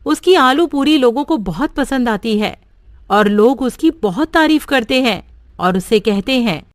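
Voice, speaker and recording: A woman narrating a simple cartoon story in a steady storybook manner. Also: a little high, measured pace, no echo, studio quality.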